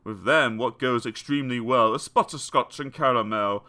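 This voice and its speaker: A man narrating children's comic poems in a theatrical, expressive storytelling style. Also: medium pitch, moderate pace. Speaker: audiobook narrator. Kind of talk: dramatic children's poetry reading.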